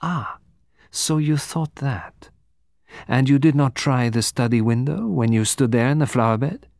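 A man playing a composed, formal detective from abroad, speaking deliberately with dramatic emphasis in an audiobook. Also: mid-range voice, gravelly, darkish tone, no room sound, clean studio sound, pitched above the performer's own voice. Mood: dry, probing. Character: composed, precise foreign male detective, quietly theatrical.

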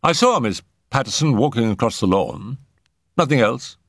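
A man acting an anxious, higher-voiced gentleman. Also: expressive, no echo, clean studio sound. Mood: guarded, earnest. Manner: earnest, agitated, halting. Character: anxious, frightened gentleman, confiding a haunting. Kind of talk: audiobook character acting.

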